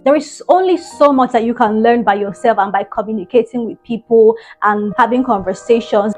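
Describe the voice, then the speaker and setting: Young woman, earnest and encouraging, slightly high voice, faint music underneath. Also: darkish tone. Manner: earnest, encouraging, emphatic repetitions. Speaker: language-learning vlogger. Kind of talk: tips vlog, direct to camera.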